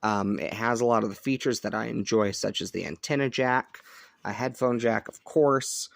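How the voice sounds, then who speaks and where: A man giving a casual hands-on product review, thinking aloud in a halting way. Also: on the low side, steady tone, gravelly, dry recording. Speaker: host of a shortwave radio review channel. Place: indoors at home, upstairs room.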